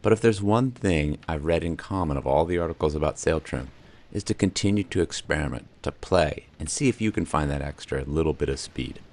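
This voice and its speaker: A man narrating his solo sailing trip in a measured scripted voice-over, with dry wry asides. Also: extremely low pitch, a little mellow. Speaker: solo sailor and channel host.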